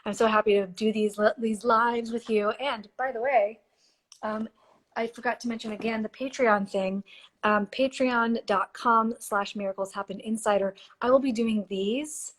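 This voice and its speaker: A woman hosting a live stream, chatting and giving oracle card readings, her voice a little hoarse. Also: fairly high pitch, fairly even intonation, a little slow. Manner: warm, chatty, reads card texts softly. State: slightly hoarse, losing her voice. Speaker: podcast host and intuitive reader. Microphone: phone or computer mic for a live stream.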